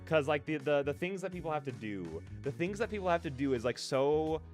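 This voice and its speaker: A man's voice: on the high side, expressive, a little fast, a little mellow, soft background music. A man hosting a live stream in casual, rambling, self-deprecating chat, on a close dry mic. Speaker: streamer and host. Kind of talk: casual live-stream chat with chat replies and read-aloud game narration.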